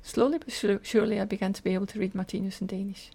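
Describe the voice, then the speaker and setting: A woman's voice: medium pitch, steady tone, rapid-fire, creaky, dark tone, husky. An older woman with a Scottish accent, telling personal stories in an earnest, detailed way as an interview guest. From Scotland. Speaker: podcast guest, teacher and lecturer.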